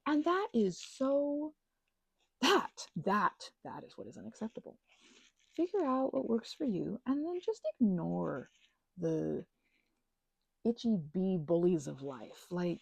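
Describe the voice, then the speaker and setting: A woman in her thirties giving a casual, playful ASMR ramble with emphatic, slangy phrasing and a husky, breathy voice with vocal fry. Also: fairly high pitch, darkish tone, no echo. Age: 30s. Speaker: ASMR channel host.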